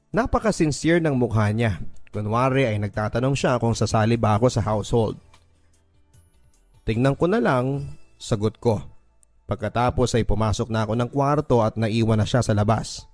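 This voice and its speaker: A man's voice: medium pitch, animated, normal speed, long pauses, a little mellow, dry recording. A Filipino man hosting a radio drama, reading a listener's first-person story as dramatic, earnest, sentimental narration. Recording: music bed under the voice. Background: music.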